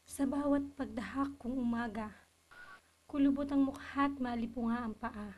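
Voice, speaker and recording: Female poetry reader, dark soft tone, somewhat high register, solemn and measured literary delivery. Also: steady tone.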